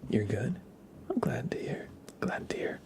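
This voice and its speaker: Whispering young man, slow and gravelly. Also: mid-range voice. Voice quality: soft whisper. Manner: relaxed, rambling chat with viewers. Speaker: tarot-reading streamer. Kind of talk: whispered ASMR tarot reading with chat.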